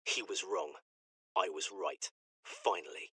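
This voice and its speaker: A man's voice: deep voice, takes it slowly, pushed and bright, husky, dry recording, studio quality, heavily acted. A man playing a defensive, aggrieved communications technician in a game audio log, insistent and self-justifying. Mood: vindicated, bitter.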